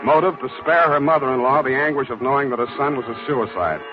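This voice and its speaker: An actor playing a wry, cynical American private detective in a brusque 1940s radio drama style. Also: male voice, medium pitch, fast talker, over music, lightly acted. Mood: weary, dry. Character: wry, hard-boiled male private detective. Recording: dull, lo-fi 1940s radio recording.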